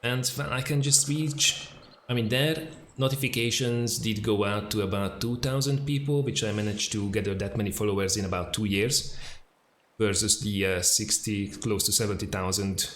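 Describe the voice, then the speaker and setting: Low-voiced Hungarian-accented man streaming. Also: normal speed. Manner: relaxed, chatty, many fillers, answers chat questions. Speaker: game streamer. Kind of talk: casual live-stream chat. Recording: echoey room with faint noise.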